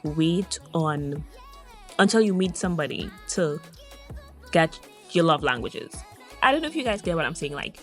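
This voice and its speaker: A woman's voice: on the low side, a bit bright. A woman with a Trinidadian accent, chatting casually as she narrates her day in a vlog, rambling from one topic to the next. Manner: chatty, digressive and upbeat. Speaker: vlogger.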